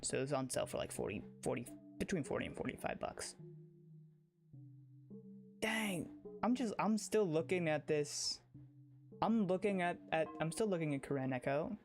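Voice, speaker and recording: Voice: medium pitch, expressive, moderate pace, long pauses, a little mellow, soft background music. A livestreamer chatting casually and emphatically, rambling, often repeating phrases and restarting sentences.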